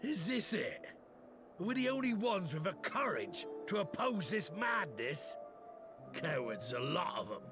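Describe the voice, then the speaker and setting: Angry high-pitched, gravelly British commoner. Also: male voice, very animated, measured pace, over music, full character voice. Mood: scornful. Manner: scornful, coarse-spoken. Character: angry, blunt commoner man opposed to peace. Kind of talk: video game voice acting.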